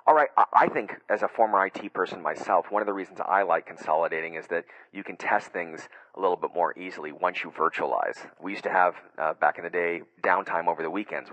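A middle-aged man with a low voice hosts a technology webcast in an upbeat, chatty way. He frames long questions and scatters fillers as he goes. The sound is dry and close to the mic. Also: brisk, a bit bright, dry recording, rough recording. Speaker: webcast host. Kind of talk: conversational tech webcast interview.

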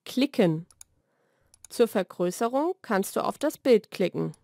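A woman reads short scripted vocabulary lesson sentences in a clearly enunciated, measured way. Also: medium pitch, lively intonation, a little mellow, no echo, studio quality. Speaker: language lesson narrator. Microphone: studio voice-over recording.

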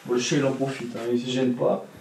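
A young man vlogging casually at home, excitable and chatty, talking straight to his viewers and laughing often. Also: mid-range voice, quick pace, a little hiss or hum. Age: young adult. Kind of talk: casual vlog to camera. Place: indoor bedroom at home. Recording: roomy echo, voice from off-mic.

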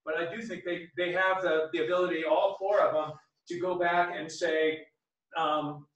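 A man speaking haltingly as a play director at an informal talkback, repeating words, recorded from far off. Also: on the high side, flat delivery, fairly bright tone, highs cut off. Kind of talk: informal remarks at a post-show talkback. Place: small theatre stage. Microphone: distant camera. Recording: echoey theatre stage from far off.

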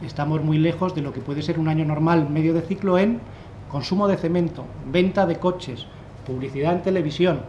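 A man's voice: on the high side, brisk, warm tone, dull audio, slight background noise. A man in his thirties speaking on a panel in a conference room, explaining his analysis with many restarts. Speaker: fund manager on a panel. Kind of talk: panel discussion. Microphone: desk microphone.

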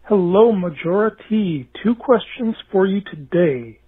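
A man's voice: sits high, animated, a little slow, husky. A man leaving a friendly voicemail question as a caller, laying out his points in order. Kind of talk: voicemail message. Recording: tinny phone voicemail line.

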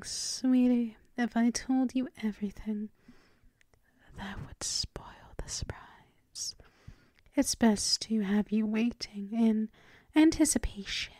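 A woman's voice: a little high, no room sound. A woman playing a dominant, teasing vampire mistress in an intimate ASMR roleplay, whispering breathily and lingering over repeated endearments. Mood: teasing, coaxing. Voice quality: breathy whisper mixed with soft voiced speech. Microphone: close microphone.

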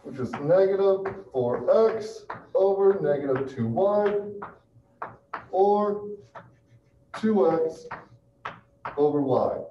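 A man's voice: sits high, animated. Man lecturing in a muffled, echoey classroom. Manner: halting, checks in with listeners. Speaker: math lecturer. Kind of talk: classroom calculus lecture, working through steps aloud. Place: small classroom with chalkboard and projector. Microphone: far camera. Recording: muffled, roomy, distant mic with hiss.

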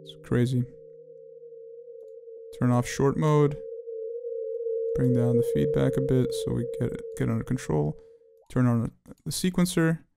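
A man giving an unscripted tutorial walkthrough, talking in a halting way as he thinks aloud. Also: mid-range voice, steady tone, gravelly. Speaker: audio plugin demonstrator.

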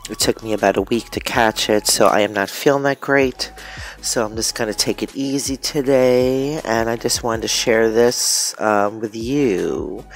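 A woman's voice: extremely low pitch, unhurried. A woman with a congested, nasal voice from a cold, chatting informally and a little ramblingly as she presents a hands-on craft unboxing. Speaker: craft vlogger. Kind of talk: casual hands-on unboxing chat. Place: indoors at a craft table.

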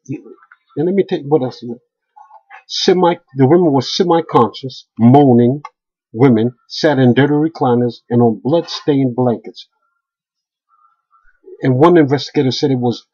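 A man's voice: mid-range voice, darkish tone, slightly rough. A middle-aged man in a heated webcam rant from a small room, stammering and repeating himself as he goes. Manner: heated, repetitive stammering restarts. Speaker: video blogger. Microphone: webcam at close range. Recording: dull lo-fi webcam audio.

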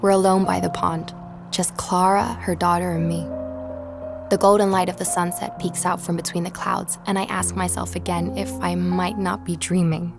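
A determined young woman investigator in an audio drama. Her voice sits a little low and she speaks reflectively, as if for her own podcast. Music plays clearly underneath. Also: little pitch movement, moderate pace. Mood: reflective narration. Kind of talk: audio drama, conversation and first-person narration.

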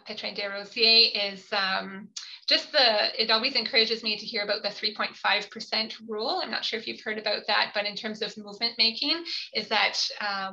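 Woman, physician presenting webinar, very bright tone, halting with fillers, muffled video call audio. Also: medium pitch, vocal fry. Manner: hesitant, many fillers, earnest.

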